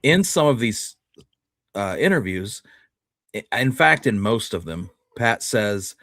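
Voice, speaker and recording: A man's voice: on the low side, expressive, slow pace, a bit bright, no room sound, studio quality. A man hosting a history show, talking casually and often restarting his phrases as he makes a point.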